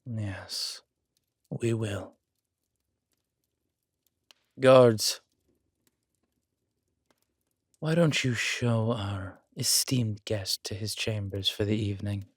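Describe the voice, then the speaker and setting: A man playing a controlled, vengeful villain king who speaks with measured, quiet menace in an intimate audio roleplay. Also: lowish voice, breathy edge, no room sound, studio quality. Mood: coldly courteous.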